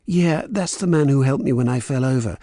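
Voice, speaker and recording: A man's voice: mid-range voice, animated, a little fast, mellow, dry recording, studio quality, voice pushed up, close to an everyday voice. A man voicing a scheming young woman who feigns innocence, with an English accent, in an audiobook. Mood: falsely sweet.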